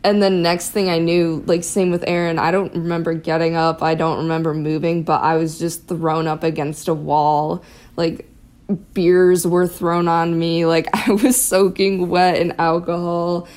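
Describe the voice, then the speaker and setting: Young American woman, slightly low pitch, chatty, casual first-person recollection. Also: flat delivery, a little slow, a little mellow. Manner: chatty, peppered with "like".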